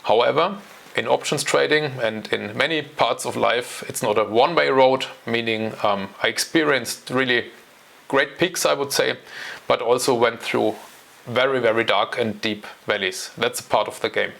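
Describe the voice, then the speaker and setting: A man's voice: medium pitch, moderate pace, gravelly, fairly bright tone. A German man in his forties with a German accent, hosting his own channel and talking to camera alone in a casual, hesitant way with many fillers. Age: 40s. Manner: hesitant, many ums and uhs. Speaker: channel host. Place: home.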